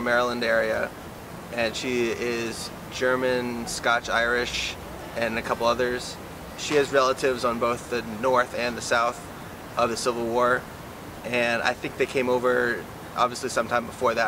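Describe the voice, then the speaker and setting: A young student speaks in an even, fairly flat voice at a middle pitch, recounting family history matter-of-factly. He is recorded outdoors with steady street noise behind him. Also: moderate pace. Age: young adult. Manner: relaxed, matter-of-fact storytelling. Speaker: student interviewee. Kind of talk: casual street interview.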